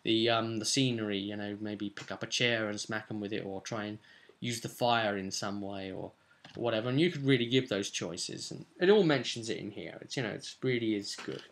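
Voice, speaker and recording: A man's voice: on the low side, moderate pace, gravelly, fairly bright tone. A man in his twenties talking informally and off the cuff, recapping a tabletop role-playing game in a rambling, conversational way at his desk. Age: 20s. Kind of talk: casual unscripted game session recap. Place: small furnished room at home.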